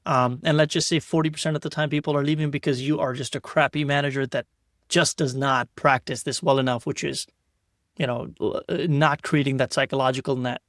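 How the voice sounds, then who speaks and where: A man's voice: mid-range voice, steady tone, a little fast, fairly bright tone, no echo, clean studio sound. A man hosting an interview podcast, talking in a loose, rambling way with repeated words and restarts. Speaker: podcast host. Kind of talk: casual interview chat.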